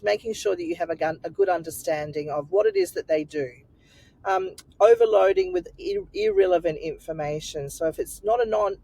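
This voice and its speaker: A woman guest giving career advice on a webinar, brisk and blunt as she runs through a list of mistakes. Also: on the low side, mellow, low-quality recording. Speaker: recruitment guest.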